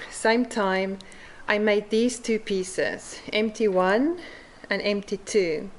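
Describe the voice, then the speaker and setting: A middle-aged woman with a South African accent giving a measured conference talk about her mosaic art, pausing often with "um". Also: mid-range voice. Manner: slow and measured, with frequent "um" pauses. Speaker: mosaic artist presenting at a forum. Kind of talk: conference presentation.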